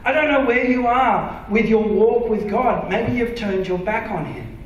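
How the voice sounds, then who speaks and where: An Australian man preaching a sermon from a church stage, earnest and emphatic, sometimes dropping to a soft, intimate tone. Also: extremely high pitch, a little slow, a little hiss or hum. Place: large dark church auditorium stage. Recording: very echoey hall from far off.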